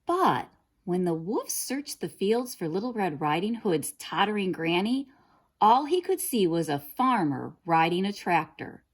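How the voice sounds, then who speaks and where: A woman reads a children's story aloud with expressive narration. Her pace is unhurried and deliberate, and her mid-pitched voice rises and falls dramatically. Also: slightly rough. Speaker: storybook reader.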